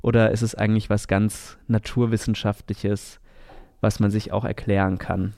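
A man's voice: on the low side, level tone, normal speed, gravelly, mellow, no room sound, clean studio sound. A man co-hosting a podcast in a conversational style. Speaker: podcast co-host.

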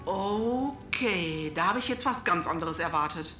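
A woman's voice: fairly low pitch, lively intonation, measured pace, roomy sound, over music. A woman playing a flustered, starstruck spaceship crew member in a German audio drama. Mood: puzzled.

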